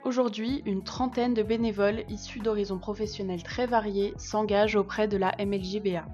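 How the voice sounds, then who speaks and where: A woman's voice: mid-range voice, steady tone, fairly quick, darkish tone. A woman reading a scripted promotional narration. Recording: slightly dull sound, music behind. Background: music.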